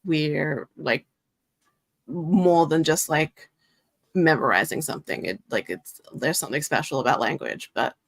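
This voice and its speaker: A woman co-hosts a livestream, thinking out loud in a rambling, earnest way. Her voice is low and gravelly. The sound is dry and close. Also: measured pace, no echo. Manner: rambling, many "like" fillers, earnest. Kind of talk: casual livestream chat.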